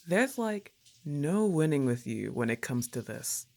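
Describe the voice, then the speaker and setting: Woman acting a slow, sardonic goth roommate, swinging intonation. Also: sits low, big pitch swings, creaky, darkish tone, exaggerated voice. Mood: amused, teasing. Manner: sarcastic, teasing, casual drawl. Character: laid-back, slightly annoyed, teasing goth young woman roommate. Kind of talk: acted roleplay ASMR monologue.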